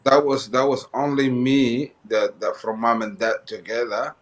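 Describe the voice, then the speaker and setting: A man from Somalia, a guest in an interview telling his life story, often halting and repeating phrases as he goes. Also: mid-range voice, level tone.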